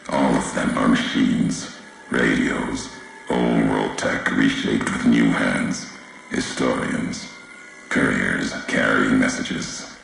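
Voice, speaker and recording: Grim video game wasteland courier: bottomless gravel voice, slow, brooding, ominous monologues in clipped phrases. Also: male voice, heavy reverb, a little hiss or hum, exaggerated voice, poor audio. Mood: grave, ominous. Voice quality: gravelly, very deep, breathy. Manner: terse, clipped fragments, deliberate and ominous.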